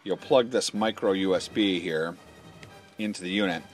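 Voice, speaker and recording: Low-voiced man giving a hands-on walkthrough. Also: normal speed, soft background music. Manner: matter-of-fact, explanatory. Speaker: product demonstrator. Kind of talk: informal hands-on instructional walkthrough.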